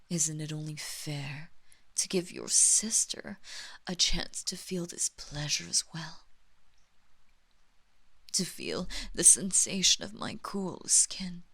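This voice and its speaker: A woman playing a seductive, commanding vampire mistress in an intimate roleplay audio drama, speaking softly and deliberately with a hypnotic, teasing condescension and breathy half-whispers. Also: on the low side, exaggerated voice. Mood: hypnotic, coaxing.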